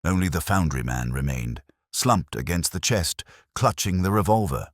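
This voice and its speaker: A man with an extremely low voice narrates scripted mystery fiction. His delivery is solemn and measured, yet the pitch moves expressively, in a dry, close sound. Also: expressive, dry recording. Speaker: audiobook narrator of a detective story. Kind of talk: scripted dramatic story narration.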